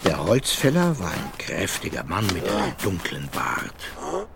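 A man narrating a children's fairy-tale radio play as storyteller, measured and vivid. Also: sits low, wildly swooping pitch, gravelly, background noise, heavily acted.

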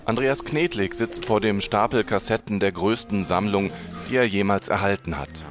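Male reportage narrator, lowish voice, scripted, light music underneath. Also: normal speed. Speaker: radio reporter.

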